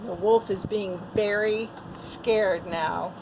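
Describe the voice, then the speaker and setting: A woman teacher telling a picture-book story to young children, expressive, with little asides to her listeners. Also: medium pitch, slow pace, a little hiss or hum, rough recording.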